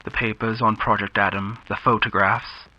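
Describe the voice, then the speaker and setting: A man narrating a radio-style thriller from a script, dramatic and deliberate, with pauses for effect. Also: fairly low pitch, level tone. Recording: slightly dull, faint background noise.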